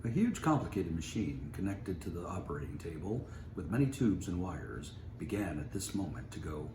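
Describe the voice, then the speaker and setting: A man narrating a scripted story aloud in an expressive way. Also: sits low, normal speed. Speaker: story reader. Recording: echoey room, faint background noise.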